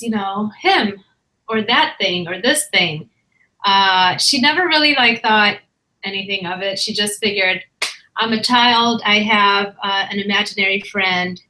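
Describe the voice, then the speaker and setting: A young woman from Ethiopia tells her own life story as a guest, relaxed and open, with frequent pauses and fillers. Her voice is bright and forward, and her pitch sits at a middle level for a woman. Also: poor audio. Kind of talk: casual personal storytelling.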